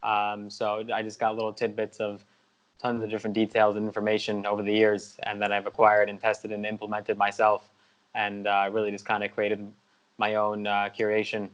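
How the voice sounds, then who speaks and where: Flat-voiced young man, smooth tone. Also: lowish voice, normal speed, poor audio. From United States. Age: young adult. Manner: steady, lots of "uh" and "you know". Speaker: guest. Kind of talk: interview answers.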